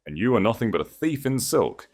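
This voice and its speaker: A man's voice: mid-range voice, very animated, brisk, darkish tone, pitched above the performer's own voice. A man voicing a wrathful, commanding Grand Duke in an audio story, cold, imperious and menacing. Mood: roaring accusation.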